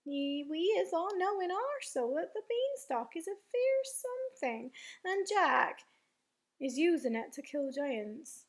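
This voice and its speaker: A woman voicing a friendly, cautious male giant in a storybook, hushed and conspiratorial, speaking in quaint muddled grammar. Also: very high voice, sing-song, a little slow, voice pushed up, heavily acted. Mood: hushed explaining.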